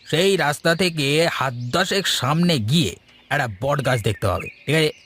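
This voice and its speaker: A man's voice: a little high, expressive, normal speed, a bit bright, a little hiss or hum. A man voicing an eager village henchman in a cartoon, emphatic and deferential like an informant. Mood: matter-of-fact. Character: anxious, eager village henchman reporting to his master. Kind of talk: Bengali moral-story cartoon dubbing.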